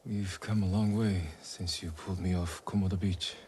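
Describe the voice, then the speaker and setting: An actor playing a resolute samurai war leader in a video game, speaking in a measured, grave, commanding way. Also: male voice, deep voice, steady tone, darkish tone, slight background noise, close to an everyday voice. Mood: grave, intimate. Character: resolute samurai leader, solemn, loyal to his companions. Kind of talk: dramatic video game acting. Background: music.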